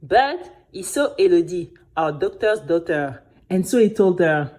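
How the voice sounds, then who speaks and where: A woman's voice: lowish voice, measured pace. A woman playing a spirited young girl who recounts her adventure to friends in a chatty, dramatic first-person audio story. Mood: chatty, eager. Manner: animated, chatty, addresses listeners directly.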